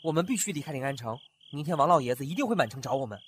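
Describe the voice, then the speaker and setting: A man's voice: medium pitch, very fast, breathy edge. A man voicing a kind, flustered young scholar in a costume radio drama, chatty and fretful. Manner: chatty, animated, fretful inner asides. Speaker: voice actor.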